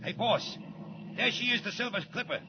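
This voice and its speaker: A man's voice: very high voice, fairly quick. An actor playing a tough, crude gangster henchman with slangy American talk in 1940s radio style. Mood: excited. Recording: noisy, dull 1940s radio recording.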